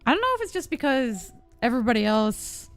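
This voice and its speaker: A woman's voice: a little high, very animated, a little fast, faint music behind. A woman giving casual live-stream gameplay commentary, musing aloud in an informal way. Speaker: game streamer.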